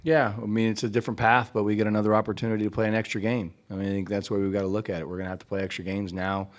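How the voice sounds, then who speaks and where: A middle-aged man, a head coach, answering press questions at a postgame press conference in halting sentences full of restarts, into a table mic. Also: lowish voice, level tone. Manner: halting, many restarts and fillers.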